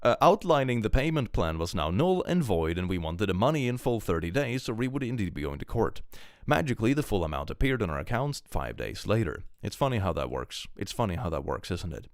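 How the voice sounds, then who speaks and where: Low-voiced lively male streamer. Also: a little fast, no echo, studio quality. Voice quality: powerful voice. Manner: animated, jumps into high excited exclamations. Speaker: streamer reading and reacting to online posts. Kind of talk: casual live-stream reading and commentary.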